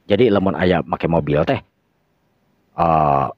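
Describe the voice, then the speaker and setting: A man's voice: low voice, takes it slowly. A man with a Sundanese accent narrating a radio drama as its storyteller. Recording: dull lo-fi recording with echo.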